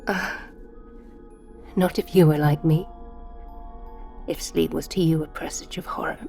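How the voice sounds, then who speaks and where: Scared young woman in an audio drama, breathy little whispers, slow, music faint behind. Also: deep voice, fairly even intonation, darkish tone. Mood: frightened. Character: frail frightened young woman, Lucy. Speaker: Lucy Westenra.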